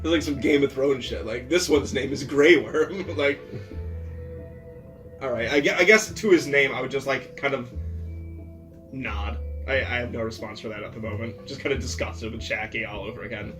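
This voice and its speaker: A man's voice: mid-range voice, normal speed, a bit bright. A man playing a tabletop game, bantering casually with jokes and swearing. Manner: sweary, joking. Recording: background music under the talk. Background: ambient music.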